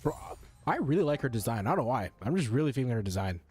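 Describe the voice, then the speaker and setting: A man's voice: mid-range voice, very animated, racing, darkish tone, slightly rough. Casual male game streamer: mid-range voice, blurting slangy, sweary reactions over light game music.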